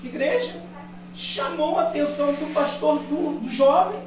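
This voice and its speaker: A man in his fifties, a pastor from Brazil, preaching a sermon that swells louder on key points. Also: very high-pitched, measured pace, crowd noise. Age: 50s. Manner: impassioned preaching, rising loud on key points. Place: church. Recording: echoey church hall, mic at a distance. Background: congregation responding.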